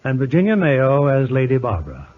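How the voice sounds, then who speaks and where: A man's voice: medium pitch, wildly swooping pitch, a little fast, very noisy. A man announcing an old-time radio drama in a formal, theatrical style. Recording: tinny, narrow-band old radio broadcast.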